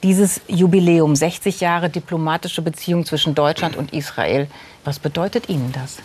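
A woman hosting a political discussion show, introducing the topic and her guests in a measured, formal way. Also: low-pitched, steady tone, darkish tone, husky. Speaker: talk show host. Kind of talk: news moderation.

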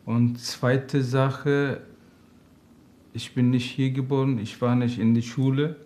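A man whose native language is Turkish, speaking halting German with many pauses as a father seeking counseling. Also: mid-range voice, level tone, darkish tone. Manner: hesitant, halting German with frequent fillers. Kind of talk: interview answers.

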